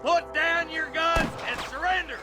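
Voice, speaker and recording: An actor playing a gruff older American lawman in a Western video game, barking orders at his posse in a folksy, commanding manner. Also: very high-pitched, measured pace. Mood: shouting, urgent. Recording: music and gunfire behind the voice.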